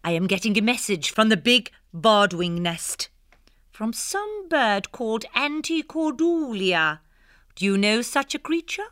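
A jolly posh hare. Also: female voice, on the high side, very animated, fairly bright tone, no room sound, studio quality. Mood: playful. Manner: brisk, jolly posh slang. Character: plucky, jolly female hare playing mystic.

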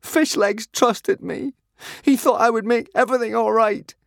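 A man's voice: very high voice, steady tone, measured pace, creaky, fairly bright tone, no room sound, studio quality, voice pushed up, full character voice. A man voicing a small young Viking boy, howling and tearful. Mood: sobbing.

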